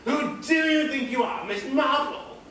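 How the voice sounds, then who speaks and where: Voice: sits high, a little slow, a bit bright. A young performer playing a pompous, indignant elderly Major in a care home, in an amateur stage comedy recorded from far off in an echoey theatre. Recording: distant echoey theatre, faint noise.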